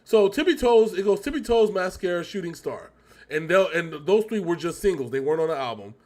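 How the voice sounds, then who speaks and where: Talkative man with a higher-pitched voice. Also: expressive, brisk, darkish tone. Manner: rambling, talkative, self-correcting. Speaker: co-host of a music reaction show. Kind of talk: casual reaction chat.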